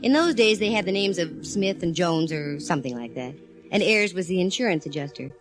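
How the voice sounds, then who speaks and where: A woman's voice: on the low side, animated, a little fast, lightly acted, low-quality recording. An actress playing a sassy woman private eye in a 1940s radio drama, breezy and wisecracking. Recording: old radio broadcast with faint organ music.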